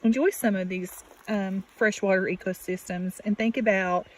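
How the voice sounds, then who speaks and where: A woman teacher reading a picture book aloud to children, deliberate and expressive, with questions and asides to her listeners. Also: medium pitch, poor audio. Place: outdoors beside a natural pond. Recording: steady outdoor background noise. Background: birds and outdoor ambience.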